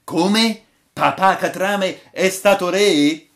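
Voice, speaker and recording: A man voicing incredulous, excitable sailors in a storybook, exclaiming and mocking. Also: sits high, drawn-out words, pitched above the performer's own voice, full character voice. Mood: astonished.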